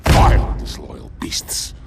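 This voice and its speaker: An actor playing a proud, gruff, indignant alien commander speaking emphatically in a video game cutscene. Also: male voice, slow pace, close to an everyday voice.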